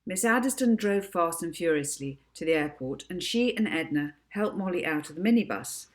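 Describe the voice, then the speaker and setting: A woman author reading her own children's book aloud as expressive narration. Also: fairly low pitch, moderate pace.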